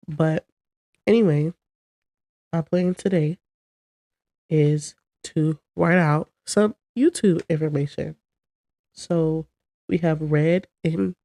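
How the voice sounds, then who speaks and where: A woman's voice: low-pitched, dark tone, no echo. A young woman hosting her own podcast in a casual, chatty, rambling way, sounding congested from a cold. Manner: chatty and rambling, talking to camera. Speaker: podcast host. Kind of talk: casual solo chat.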